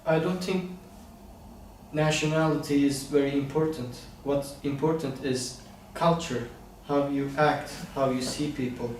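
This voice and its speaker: A young man answers interview questions in a calm, reflective way, at an unhurried pace. His voice sits slightly high. He sounds distant in a very echoey room with a faint hum behind him. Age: young adult.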